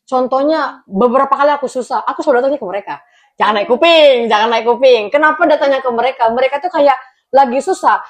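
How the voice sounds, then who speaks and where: A woman's voice: high-pitched, fast talker. A young woman hosting a casual chat, teasing her guest, laughing often and talking to viewers. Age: young adult. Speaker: host.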